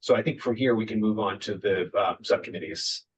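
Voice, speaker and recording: Man speaking at a town meeting, many fillers. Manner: halting, many fillers and restarts. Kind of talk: public meeting remarks. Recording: muffled meeting recording with faint rumble.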